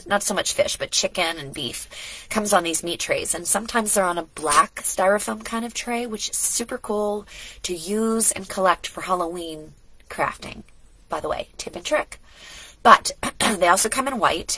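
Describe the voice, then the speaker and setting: A woman's voice: lowish voice, a bit bright, breathy edge, no echo, poor audio. A middle-aged woman vlogging casually at home, chatty and rambling, a bit congested from a cold. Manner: rambling, chatty, talks in asides and restarts. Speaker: lifestyle vlogger. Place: home studio indoors.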